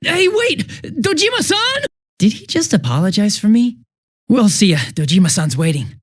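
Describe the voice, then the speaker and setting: Bumbling young American detective, very animated. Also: male voice, high-pitched, moderate pace, breathy edge, no echo, clean studio sound, exaggerated voice. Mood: flustered, eager. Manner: sheepish, stammering, blabbing, casual. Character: bumbling, chatty young male police detective, goofy and nervous. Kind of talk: English video game dub voice acting.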